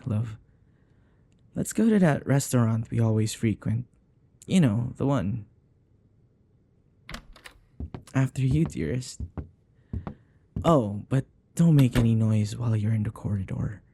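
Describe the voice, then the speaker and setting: Casting: man to play possessive dating-sim lover, dark soft voice, slow coaxing delivery, sinister sweetness, whispery ASMR intimacy. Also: medium pitch. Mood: doting, coaxing. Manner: soft, coaxing endearments, breathy sighs and whispers. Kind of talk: intimate ASMR roleplay acting.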